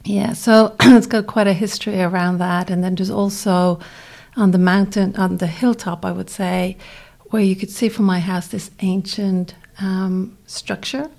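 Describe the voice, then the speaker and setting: A woman with an Irish accent, a podcast guest who reflects softly on her upbringing and sometimes drops to near whispers. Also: mid-range voice, steady tone, unhurried, vocal fry. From Ireland. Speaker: interview guest. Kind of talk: reflective conversation.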